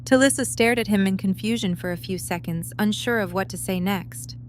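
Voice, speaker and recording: A woman's voice: mid-range voice, brisk. A woman reading scripted story narration as the narrator of an audio drama. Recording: faint background hum and noise.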